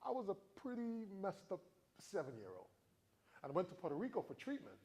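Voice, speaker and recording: A man in his forties from the Virgin Islands, giving a motivational talk to students with animated storytelling and questions for the crowd. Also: high-pitched, fairly quick, husky. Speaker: motivational speaker.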